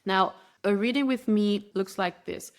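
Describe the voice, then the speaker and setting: Brief: female interview guest, Spanish native speaker, mid-pitched voice, earnest and flowing, restarts her phrases. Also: measured pace, clear, resonant tone. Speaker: spiritual guide, interview guest. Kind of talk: podcast interview.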